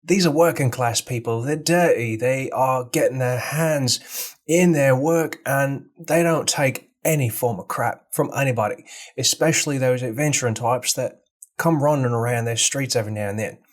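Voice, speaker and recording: A man voicing a gruff, defiant working-class townsman with a London Cockney accent, for a tabletop game. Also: medium pitch, moderate pace, lightly acted. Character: working-class Cockney townsman, dirty, tough, taking no nonsense.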